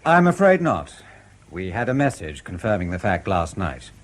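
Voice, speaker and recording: An actor playing a polite, probing British intelligence interrogator in a radio drama, courteous, measured and insinuating, with a husky voice. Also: male voice, sits low, big pitch swings. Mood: pressing.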